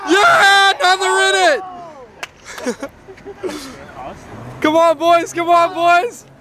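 A young man vlogging a college hockey road trip, talking loudly and excitedly with teammates on a handheld camera outdoors. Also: very high voice, slow, some chatter behind. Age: young adult. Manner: loud, hyped, shouting and laughing. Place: outdoors by a bus and arena. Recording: loud outdoor noise, vehicles.

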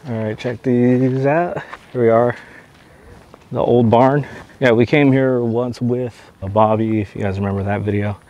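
A man's voice: medium pitch, fairly even intonation, measured pace, highs cut off, over music. A man from the San Francisco Bay Area narrating a casual driving vlog with his friends, laid-back and laughing often, recorded in a convertible on the road. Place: in a convertible car on the road, outdoors. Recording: loud road and engine noise.

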